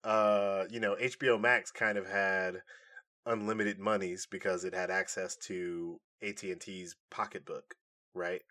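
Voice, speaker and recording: A man's voice: low-pitched, flat delivery, measured pace, sharp tone. Casual man chatting as a podcast co-host. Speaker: co-host of a nerd news podcast. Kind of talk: casual chat.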